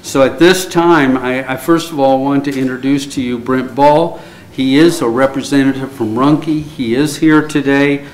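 A man serving as mayor, giving a halting speech with many fillers at a city council meeting. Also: mid-range voice, steady tone, slight background noise. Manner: hesitant, many filler words. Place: council chamber. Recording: echoey council chamber.

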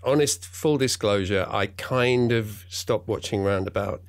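Wry man in his sixties, lowish voice. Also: a little slow. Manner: wry, self-deprecating. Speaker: podcast guest. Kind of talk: relaxed interview chat.

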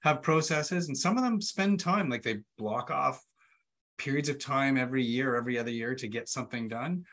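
Halting man hosting an online webinar. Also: medium pitch, a bit bright. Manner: halting, repeats words. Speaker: webinar host. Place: room with bookshelves, indoors. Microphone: computer video call.

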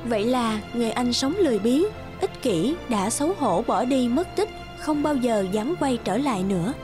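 A woman's voice: fairly high pitch, lively intonation, moderate pace. A woman narrating children's fairy tales from a script as a cartoon storyteller. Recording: music behind the voice.

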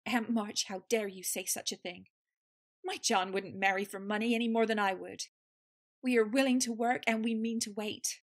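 A woman's voice: mid-range voice, steady tone, moderate pace, natural voice. An actress playing an earnest, loyal young woman in an audio drama, speaking heartfelt. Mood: defiant.

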